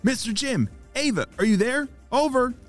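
A man acts out a young boy spy in a children's adventure story. The voice jumps high with excitement, as if calling in a report, over faint music. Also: normal speed. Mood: excited. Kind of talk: children's audio story character.

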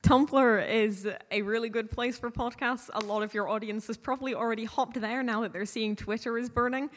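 A woman's voice: on the high side, fairly even intonation, moderate pace. A woman in her early twenties giving informal, emphatic advice as a guest on a panel. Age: early 20s. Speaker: panel guest on an audio drama podcasting panel. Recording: echoey hall with long reverb.

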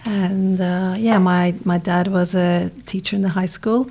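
A woman with an Irish accent, a podcast guest who reflects softly on her upbringing and sometimes drops to near whispers. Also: lowish voice, fairly even intonation, measured pace. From Ireland. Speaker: interview guest. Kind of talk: reflective conversation.

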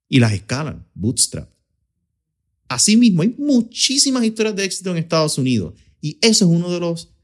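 A man with a Puerto Rican Spanish accent, an accelerator director answering interview questions in an explanatory way, mixing in English business terms. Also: mid-range voice. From Puerto Rico. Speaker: startup accelerator director, guest.

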